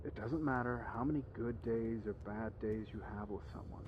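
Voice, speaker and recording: A man's voice: on the low side, normal speed, dull audio, background noise, natural voice. Guy doing a bitter grieving villain dad, accusing and theatrical, audio drama w/ stuff playing behind him. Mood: brooding. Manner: accusatory, theatrical, rhetorical questioning. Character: grief-stricken, bitter male villain, father of a dead son.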